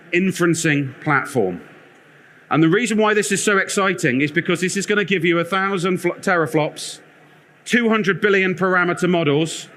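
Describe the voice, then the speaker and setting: Loud, bright-voiced man presenting on stage. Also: a little high. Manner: loud, energetic, self-deprecating, wanders the stage. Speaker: conference speaker, product lead. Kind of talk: live conference presentation. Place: conference theatre.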